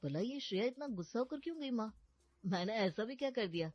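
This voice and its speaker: A woman's voice: a little high, lively intonation, fairly quick, no room sound, muffled recording. A woman voicing a playful young husband who loves pranks, talking in a jokey, teasing way.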